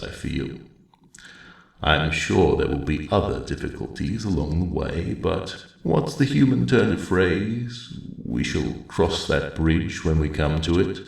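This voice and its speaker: A man voicing an ancient, kindly old male dragon for ASMR roleplay, speaking in a gentle, formal, thoughtful way with a deep gravelly rumble. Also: reverberant, heavily acted. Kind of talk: ASMR roleplay audio drama, spoken to the listener.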